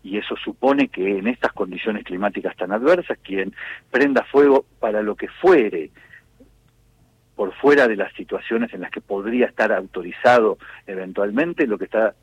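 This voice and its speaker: An Argentine man answering as an official in a radio interview, speaking in a measured, formal, wordy way. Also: fairly low pitch, husky, highs cut off. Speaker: government official being interviewed.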